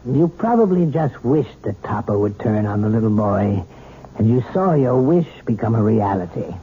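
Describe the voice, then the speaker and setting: A coaxing psychiatrist. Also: male voice, lowish voice, very animated, normal speed, husky, full character voice. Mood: explaining. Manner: soothing, coaxing, patient. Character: calm, probing male psychiatrist. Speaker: psychiatrist hypnotizing a patient. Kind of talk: 1970s radio drama acting. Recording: tinny old radio broadcast.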